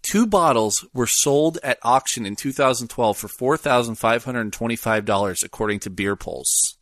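A young male host with a very lively, up-and-down voice, asking questions and sharing facts in casual chat. His pitch sits at a middling level for a man. The recording is close and dry. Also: normal speed, dry recording. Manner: curious, questioning, reads facts aloud. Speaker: podcast host.

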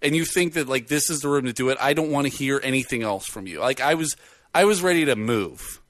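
A man in casual chat, reviewing a movie with a loud voice, rambling and full of fillers. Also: medium pitch, a little fast, no echo. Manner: rambling, animated storyteller with many fillers. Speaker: podcast co-host reviewing a film.

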